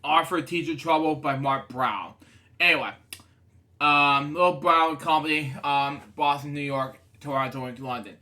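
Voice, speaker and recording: A man's voice: on the high side, normal speed, bright, forceful tone. A man reading a children's picture book aloud casually, often breaking off into rambling, digressive asides. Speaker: storybook reader and show host.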